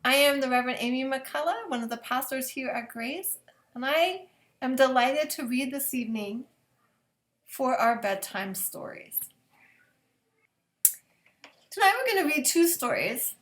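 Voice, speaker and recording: A woman pastor reading scripture aloud as a children's bedtime story, in measured, deliberate phrases with long pauses. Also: high voice, animated, a bit bright. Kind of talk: gentle read-aloud of scripture picture books. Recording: echoey room.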